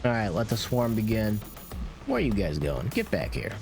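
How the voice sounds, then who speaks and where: A man's voice: on the low side, huge pitch swings, normal speed, vocal fry. A man giving casual, opinionated commentary on a game, talking informally. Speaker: gaming video creator. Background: game music.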